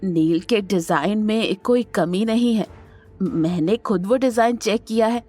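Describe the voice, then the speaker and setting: महिला की आवाज़ में सख़्त, रौबदार बुज़ुर्ग मुखिया. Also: female voice, mid-range voice, measured pace, mellow, faint music behind, close to an everyday voice. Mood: insistent, pleading. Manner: firm, insistent. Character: stern, authoritative elderly family matriarch and businesswoman. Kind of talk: Hindi audio drama acting.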